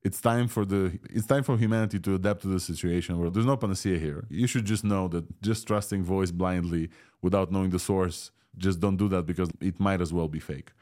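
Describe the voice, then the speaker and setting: Deep-voiced man with a Russian accent. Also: steady tone, brisk, a little mellow. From Ukraine. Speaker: podcast host. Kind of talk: casual tech news discussion.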